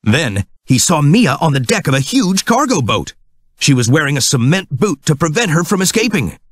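Man for children's storybook narration: scripted, highly expressive pitch, close dry sound. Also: moderate pace, no echo.

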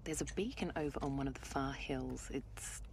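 A woman's voice: extremely low pitch, moderate pace, creaky, darkish tone, slight background noise. A woman playing a composed young scout soldier in a video game, matter-of-fact and tactical. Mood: businesslike. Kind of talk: video game drama acting.

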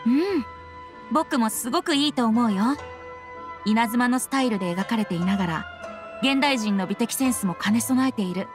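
A woman's voice: a little high, normal speed, over music, natural voice. A woman voicing a carefree, playful teenage boy bard in an anime-style video game, with a breezy manner. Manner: breezy, playful, self-admiring. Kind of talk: anime game dialogue acting.

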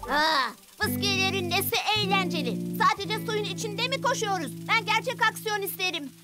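A woman's voice: very high-pitched, moderate pace, loud music behind the voice. A woman voicing a tough, impatient little superhero girl in a cartoon, brash and sarcastic. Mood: impatient, defiant.

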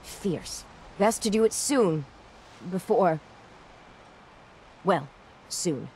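An actress playing a tough, wry shipwright in a video game, speaking in a terse, dry, halting way. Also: female voice, on the low side, animated, a little mellow, a little hiss or hum, exaggerated voice, low-quality recording. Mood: dry, purposeful. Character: tough, wry female shipwright in a harbor town. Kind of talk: video game character acting.

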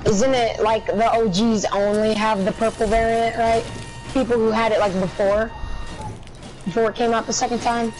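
A woman's voice: fairly high pitch, flat delivery, moderate pace, noisy background. A woman gamer talking in casual voice chat during a match, teasing and sarcastic with her teammates. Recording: game music loud behind voice.

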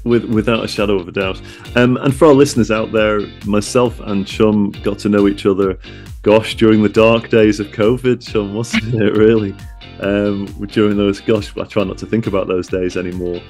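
Male interviewer for a podcast, slightly deep, chatty and wordy. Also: fairly even intonation, moderate pace, darkish tone, soft background music. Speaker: podcast host. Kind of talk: conversational interview.

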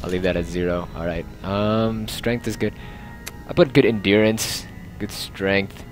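A man's voice: on the low side, fairly even intonation, measured pace, soft background music. A young man casually narrating a game, rambling and joking as he plays. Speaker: let's play host. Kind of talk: casual gameplay commentary.

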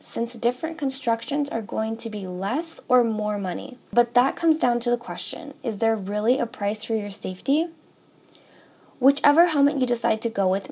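A young woman talks viewers through a product guide in a bright, scripted, upbeat way. Her voice sits a little high and often slips into vocal fry. It sounds like a studio voice-over. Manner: upbeat, direct address to viewers. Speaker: store presenter. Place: studio with a table, voice-over for most of the video.

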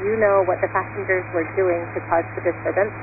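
A woman's voice: on the low side, steady tone, fairly quick. A woman working as an air traffic controller, giving procedural instructions over aviation radio. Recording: phone-like radio channel, clear static hum.

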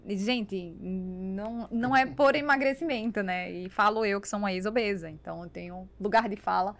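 A woman in her thirties with a Brazilian accent, talking in animated casual conversation at a close studio mic. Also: medium pitch, normal speed. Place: small foam-treated podcast studio. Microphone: studio mic on boom arm, close.

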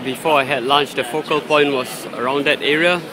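A man giving halting, unscripted commentary as he films outdoors on a handheld device in a busy city street. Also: a little high, steady tone. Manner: halting, searching for words. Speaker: amateur video maker filming a street scene. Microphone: handheld phone or camera, held by the speaker. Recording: noisy busy city street, traffic and crowd.

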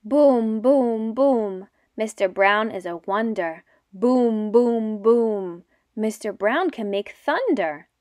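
A young woman teaching and reading aloud to small children in simple, deliberate phrases. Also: a little high, expressive, darkish tone. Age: young adult. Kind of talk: children's read-aloud lesson.

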